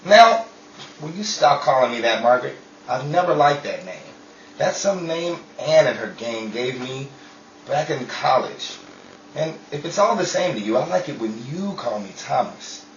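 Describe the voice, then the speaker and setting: A man's voice: mid-range voice, lively intonation, moderate pace, lightly acted. A man playing a bewildered, well-meaning husband in an earnest old-time radio drama style. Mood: earnest, explaining. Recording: roomy, distant, muffled, hissy amateur recording.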